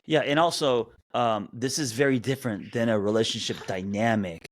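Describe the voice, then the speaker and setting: A man in his fifties co-hosting a podcast, chatting casually in an animated, rambling way with many restarts. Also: mid-range voice, normal speed, no echo. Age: 50s. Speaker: podcast co-host. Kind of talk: casual chat.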